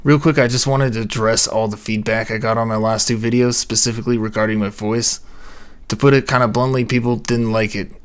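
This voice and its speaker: A young man with a low, soft voice, reading a scripted account of a mystery late at night at home. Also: steady tone, a little fast, clean tone. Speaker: narrator of a mystery channel. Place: home recording space.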